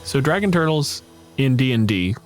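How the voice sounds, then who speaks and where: A man's voice: medium pitch, very animated, normal speed, soft background music. A man co-hosting a podcast in a conversational style. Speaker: podcast host. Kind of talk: casual discussion.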